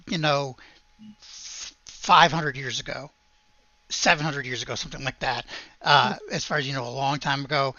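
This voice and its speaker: A game master improvising tabletop game narration in a halting, hesitant way. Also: mid-range voice, bright, forceful tone, no room sound, lo-fi sound.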